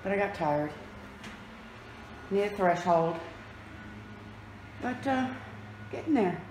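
A woman's voice: mid-range voice, expressive, takes it slowly, darkish tone. A woman giving a casual walk-through as a home renovation vlogger, filming handheld inside an unfinished house. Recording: echoey bare rooms, background noise.

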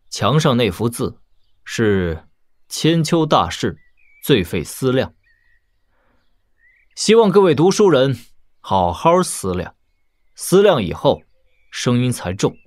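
Young frontier lord, dry close sound, varied intonation, composed, deliberate, courteous, audio drama. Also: male voice, medium pitch, big pitch swings, no echo. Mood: calm, firm. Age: young adult. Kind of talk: Chinese audio drama acting.

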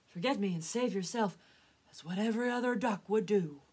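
Storybook casting: woman playing an elderly papa duck, hurt and weary, husky voice, imploring and halting. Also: fairly low pitch, animated, a little mellow, exaggerated voice. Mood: pleading, argumentative. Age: older. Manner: pleading, faltering. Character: wounded old father duck, pleading and weary.

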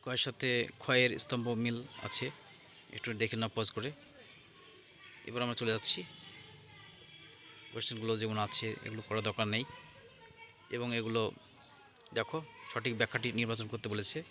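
A man giving an informal lesson as an exam tutor, halting and repeating himself as he checks in with listeners. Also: on the low side, level tone. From India. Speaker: exam tutor presenting answer keys.

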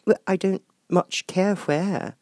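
A man's voice: high-pitched, takes it slowly, a little mellow, no room sound, voice pushed up, exaggerated voice. A man voicing a polite, timid, curious young girl in a storybook reading, speaking carefully and earnestly.

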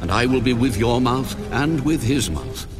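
An actor voicing God as a grave, commanding deity in a dramatized audio Bible, speaking with deliberate authority. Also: male voice, mid-range voice, expressive, natural voice. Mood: stern. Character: God, commanding, solemn, all-powerful male deity. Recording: dramatic music under the voice.

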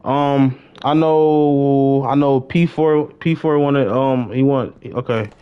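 A man's voice: mid-range voice, steady tone, takes it slowly, clean tone. A young man chatting casually on a gaming livestream, using heavy slang and often repeating his phrases. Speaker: live-streamer playing an online role-play game. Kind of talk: casual gaming stream chat. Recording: dull lo-fi streaming mic.

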